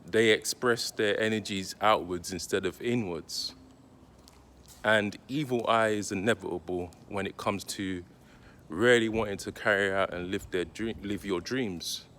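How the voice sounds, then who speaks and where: A man talking directly to his viewers, giving casual spiritual advice in a slow, deliberate, reflective way with pauses. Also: lowish voice, fairly bright tone, slight background noise. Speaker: spiritual content creator.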